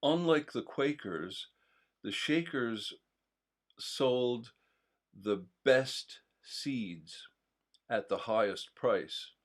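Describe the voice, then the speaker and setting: A man's voice: medium pitch, lively intonation, very slow, long pauses. A man chatting casually as co-host of a live stream, rambling with many fillers and restarts. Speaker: co-host of a local history stream. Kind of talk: casual chat.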